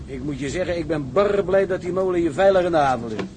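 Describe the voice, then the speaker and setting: A man's voice: fairly high pitch, expressive, fast, lightly acted. An actor playing a hearty, plain-spoken seaman in a period maritime drama. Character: hearty, plain-spoken seaman, respectful mate to his skipper. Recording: clearly noisy background ambience.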